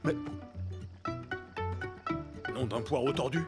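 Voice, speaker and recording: A man's voice: medium pitch, slow pace, lightly acted. An actor voicing a cheerful, doting, middle-aged cartoon dad who sings over his cooking and uses quaint exclamations. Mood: puzzled. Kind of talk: cartoon voice acting. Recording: loud music behind.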